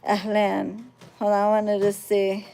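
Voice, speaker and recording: A woman's voice: mid-range voice, monotone, a little slow, vocal fry, warm tone. A woman from the United States, casually talking to her viewers and often checking that they follow along. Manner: conversational, checks listeners understand. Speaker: spiritual channel host.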